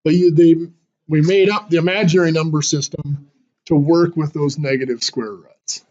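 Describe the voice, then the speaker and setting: Guy teaching a math class, high voice, kinda hoarse, muffled lo-fi recording. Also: steady tone, moderate pace, a little mellow. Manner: explains step by step with fillers and restarts. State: losing his voice, clearing throat.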